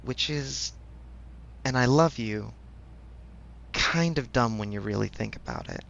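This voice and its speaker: A man's voice: mid-range voice, unhurried, gravelly, a bit bright, muffled recording, a little hiss or hum. A man playing a supportive best friend in a casual audio roleplay, speaking frankly with affectionate teasing. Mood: blunt, reassuring. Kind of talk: ASMR audio roleplay, conversational.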